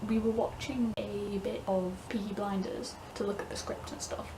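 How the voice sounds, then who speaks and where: A woman's voice: mid-range voice, fairly even intonation, normal speed, noisy background. A young woman from the English Midlands with a mixed northern and southern English accent, rambling casually to camera with frequent laughs and "like"s. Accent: English Midlands-northern with southern vowels. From England, north West Midlands. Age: young adult. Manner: chatty, laughs often, frequent "like". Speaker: vlogger. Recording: distant mic, clear room ambience.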